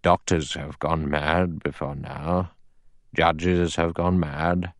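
Man playing a slow, sour old judge, very low. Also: level tone, no echo, heavily acted. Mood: cold, probing. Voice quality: small, thin, clear voice. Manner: slow, deliberate, dry and sour. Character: elderly male judge, cold, measured, acid-tongued authority.

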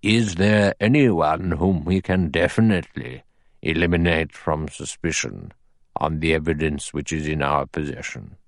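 A man voicing an elderly judge in an audiobook, cold and measured, with a thin, sour, deliberate delivery. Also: extremely low pitch, dry recording. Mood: cold, probing. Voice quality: small, thin, clear voice. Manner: slow, deliberate, dry and sour. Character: elderly male judge, cold, measured, acid-tongued authority.

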